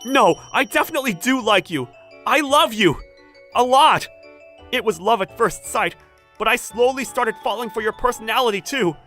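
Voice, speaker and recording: A man's voice: sits high, fairly quick, sharp tone, faint music behind. A man playing an earnest, easily flustered young factory owner in love, in a manga dub. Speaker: voice actor, lead and narrator. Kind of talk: dramatized manga dub with inner monologue.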